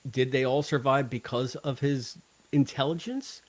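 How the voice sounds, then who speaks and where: A man co-hosting a casual fan podcast, talking in an animated, emphatic way and often wandering into tangents. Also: medium pitch, a little slow. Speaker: podcast co-host.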